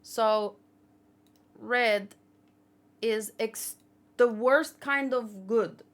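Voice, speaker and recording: A woman's voice: on the high side, very slow. A woman giving casual, informal commentary as a host, thinking aloud and often questioning herself. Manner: informal, rambling, self-questioning. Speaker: host of a manga read-along channel.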